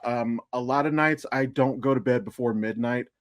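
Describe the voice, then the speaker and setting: A man's voice: mid-range voice, moderate pace, no room sound. American man chatting, close dry sound. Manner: blunt, profane, self-deprecating. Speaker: podcast co-host. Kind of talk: casual chat.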